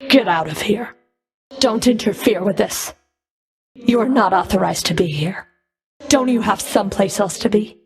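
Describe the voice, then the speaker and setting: A woman playing a stern, scornful female Fury in a video game, curt, cool and commanding, with a breathy edge to her voice. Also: mid-range voice, moderate pace, pauses often, close to an everyday voice. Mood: scornful, angry. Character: stern, scornful female Fury, a whip-wielding underworld enforcer. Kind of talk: video game combat voice lines.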